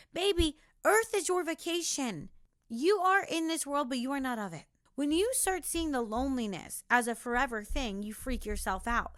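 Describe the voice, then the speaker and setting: A young woman with a raspy voice giving an emphatic motivational monologue as a podcast host, talking straight to the listener. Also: sits high, no room sound, clean studio sound.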